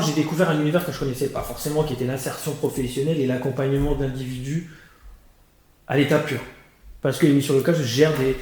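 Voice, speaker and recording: A man in his thirties telling his working life as an interview guest, animated and full of restarts. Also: on the high side, a little hiss or hum. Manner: animated storytelling with many restarts and fillers. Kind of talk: conversational life-story interview. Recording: very echoey room.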